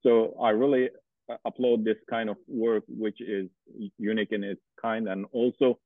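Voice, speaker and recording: A man's voice: lowish voice, little pitch movement, normal speed, no room sound. A man, an academic interviewer, asking a long question hesitantly with many fillers. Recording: dry, phone-like sound.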